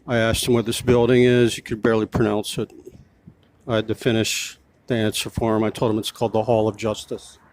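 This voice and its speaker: A man's voice: on the low side, flat delivery, fairly quick, a little mellow, rough recording. A man filming himself as a street reporter outdoors, talking in a pushy way and repeating his questions. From United States. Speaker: self-styled street reporter. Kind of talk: confrontational vlog narration.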